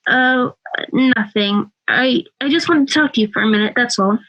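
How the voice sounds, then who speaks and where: A woman's voice: a little high, moderate pace, dull audio. A woman playing an anxious, hesitant housewife and mother in an amateur audio drama. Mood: nervous, evasive.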